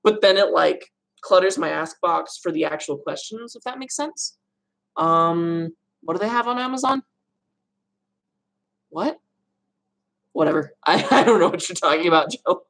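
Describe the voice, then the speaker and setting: A young woman with a low voice, hosting a casual live Q&A stream from her room at home, chatty and rambling. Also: animated, a little slow, gravelly, pauses often, a bit bright. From Arizona, USA. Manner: chatty, rambling, laughs often. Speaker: livestream host answering viewer questions.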